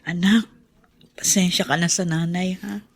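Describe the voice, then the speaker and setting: A woman's voice: lowish voice, steady tone, measured pace. An actress playing an ailing, self-blaming middle-aged mother in a Filipino radio drama, sorrowful and apologetic. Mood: weary, resigned.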